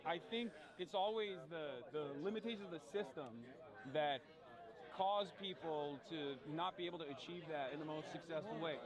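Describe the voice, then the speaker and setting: Man in his thirties, rambling, profane, slightly high voice, crowd chatter behind. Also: moderate pace. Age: 30s. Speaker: streamer host. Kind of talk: casual street chat with inmate firefighters.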